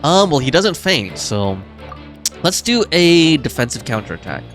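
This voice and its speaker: A woman's voice: sits low, sing-song, a little slow. A woman streaming a game with casual commentary, sometimes reading the on-screen text aloud. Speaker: gaming streamer. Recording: game music behind the voice. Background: video game music.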